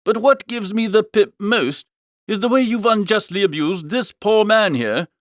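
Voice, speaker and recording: Man playing a high-pitched, foppish young gentleman. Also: moderate pace, no room sound, clean studio sound, lightly acted. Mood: severe, indignant. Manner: slangy, earnest, emphatic. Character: foppish young upper-class gentleman, dithering yet indignant. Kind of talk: comic storybook dialogue.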